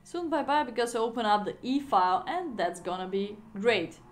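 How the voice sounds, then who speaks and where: Woman streaming chess from home, bit high voice, laughs a lot, chatty. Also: lively intonation, moderate pace. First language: Bulgarian. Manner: chatty, laughing, non-native phrasing. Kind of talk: casual live-stream commentary. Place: indoor room at home.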